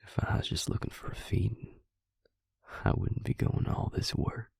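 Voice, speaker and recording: A man playing a devious, smug male vampire in an intimate audio roleplay, taunting his victim slowly in a breathy, gravelly whisper. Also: darkish tone, no room sound, clean studio sound, close to an everyday voice. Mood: sly, taunting. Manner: slow, taunting, self-satisfied.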